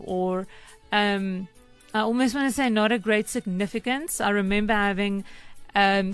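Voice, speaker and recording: A young woman with a South African accent presents a weekday morning radio feature, her first language Afrikaans. She talks in a chatty, easygoing way, pausing on ums as she thinks aloud. Faint music sits low under her mid-pitched voice. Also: clear, resonant tone. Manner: warm, chatty, with frequent ums.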